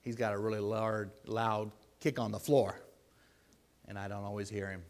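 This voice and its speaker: A man with a deep voice preaching a sermon in a church, earnest and pleading, with loud emphatic bursts. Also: flat delivery, moderate pace. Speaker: lay preacher.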